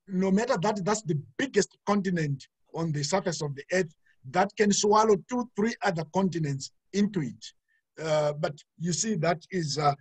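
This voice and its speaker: A man's voice: high voice, darkish tone, breathy edge, no room sound, low-quality recording. A middle-aged man from Zimbabwe, speaking earnestly on a video call panel, with many fillers and restarts. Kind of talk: webinar talk. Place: indoor home room. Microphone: laptop webcam, video call.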